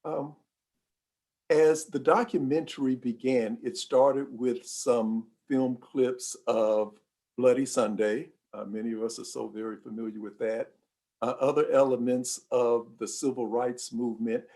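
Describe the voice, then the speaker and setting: A man moderating a panel discussion, asking polite, measured questions with filler uhs. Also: medium pitch, fairly even intonation, warm tone.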